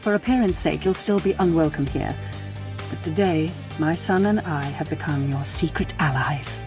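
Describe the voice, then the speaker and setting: Low-voiced, scheming Sith noblewoman. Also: normal speed, music in the background. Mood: satisfied, imperious. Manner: silky, imperious, formal. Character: scheming, power-hungry Sith noblewoman, mistress of a house. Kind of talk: video game voice acting.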